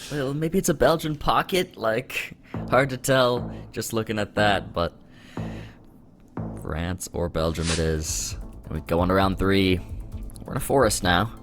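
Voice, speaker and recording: A man with a fairly low voice calls a competitive match live, rising sharply in pitch whenever a big play lands. Clear background music runs under his commentary. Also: a little slow. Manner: excitable, pitch jumps up on big moments. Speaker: esports commentator.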